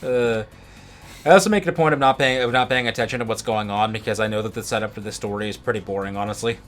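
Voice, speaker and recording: A man's voice: on the low side, fast talker, a bit bright. Male let's play co-host, casual and wordy, repeats and restarts words, game music underneath. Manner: rambling, stammering with repeated words. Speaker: gaming video co-host. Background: video game music.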